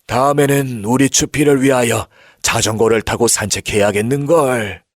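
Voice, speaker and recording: A man voicing a kindly old grandfather in a children's storybook, gentle and patient as he explains things. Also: medium pitch, fairly even intonation, normal speed, dry recording, clean studio sound, lightly acted. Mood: caring.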